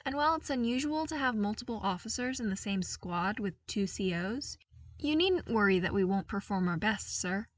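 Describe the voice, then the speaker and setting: A woman's voice: medium pitch, lively intonation, normal speed, dry recording, far from the mic, close to an everyday voice. A woman voicing a dutiful, matter-of-fact male soldier in a story, formal and earnest.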